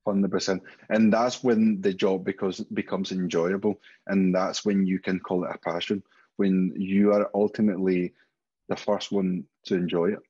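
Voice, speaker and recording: A man's voice: low-pitched, flat delivery, moderate pace, dark tone, husky, dull audio. A man in his thirties from Spain with a Spanish accent, a guest speaking candidly on a video call and swearing freely. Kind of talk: casual interview chat. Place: home room, indoors.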